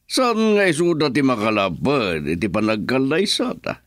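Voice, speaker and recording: An actor playing a wealthy older suitor, smitten and theatrical, in an Ilocano Filipino radio drama. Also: male voice, medium pitch, wildly swooping pitch, moderate pace, a bit bright, dry recording, studio quality. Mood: wooing.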